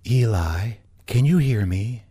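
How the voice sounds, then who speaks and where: A man's voice: on the low side, animated, slow, dark tone, slightly rough, dry recording, studio quality, full character voice. A man voicing a desperate young woman who pleads for help from a dream. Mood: pleading.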